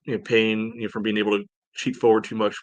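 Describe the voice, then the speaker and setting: A man with a fairly low voice talks sport as a podcast co-host. He is casual and halting, padding his phrases as he goes, and the sound is dry and close. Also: level tone, dry recording. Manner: halting, peppered with "you know". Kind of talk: casual sports analysis chat.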